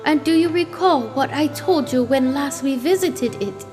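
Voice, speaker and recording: A woman performs a tender, otherworldly mother in a comic audio drama. Her voice is high and soothing, with solemn, formal phrasing, set over prominent music. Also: lively intonation, normal speed. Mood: serene, tender. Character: gentle, serene spirit mother comforting her grown son.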